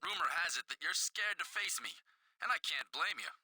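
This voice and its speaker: An actor playing a boastful, menacing pro wrestler issuing a challenge in a video game cutscene. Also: male voice, on the high side, animated, a little fast, pushed and bright, breathy edge, no echo, faint music behind, exaggerated voice. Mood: boastful menace.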